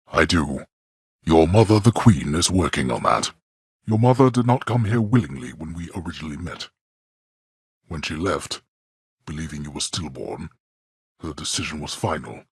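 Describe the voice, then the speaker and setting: A cold bossy god of the dead, super husky voice, dry sound, swings his pitch a lot on rebukes. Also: no echo, exaggerated voice. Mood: stern. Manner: formal, cold and commanding, with clipped rebukes. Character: stern imperious male god of the dead. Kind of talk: video game voice acting.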